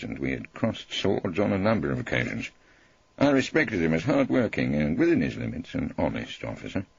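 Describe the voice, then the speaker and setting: A man's voice: extremely low pitch, vocal fry, slightly rough, lo-fi sound. A man reading a wry first-person story as an audiobook narrator.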